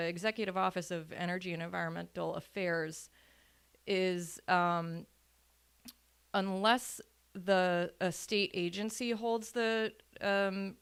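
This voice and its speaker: A woman's voice: lowish voice, creaky, fairly bright tone, clean tone. A woman presenting at a public meeting, methodically going through points on land conservation with some fillers. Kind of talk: informational talk at a public meeting.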